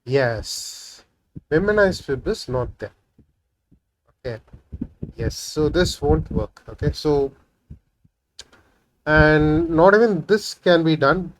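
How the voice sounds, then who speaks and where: A man's voice: fairly high pitch, lively intonation, takes it slowly, long pauses, rough recording. Man thinking aloud while coding. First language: Tamil. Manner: thinking aloud, many fillers and "right?" tags. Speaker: programming tutorial host. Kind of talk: casual screen-recorded coding walkthrough.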